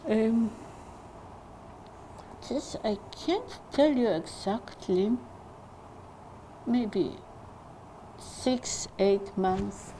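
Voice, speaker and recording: An older woman, giving an oral history interview, halting and searching for English words. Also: medium pitch, expressive, warm tone, slight background noise, rough recording.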